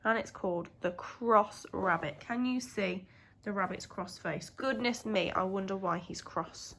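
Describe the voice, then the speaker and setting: A woman's voice: mid-range voice, unhurried, a bit bright, slight background noise. A woman with a British accent, a primary school teacher telling a story expressively to young children.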